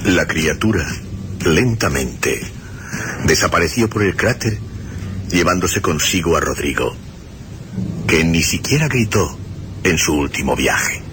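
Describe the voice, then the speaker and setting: An actor as the grave storyteller of a radio horror drama, narrating with deliberate, suspenseful phrasing and dramatic pauses. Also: male voice, low-pitched, animated, noisy background. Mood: solemn. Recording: radio drama with loud music behind.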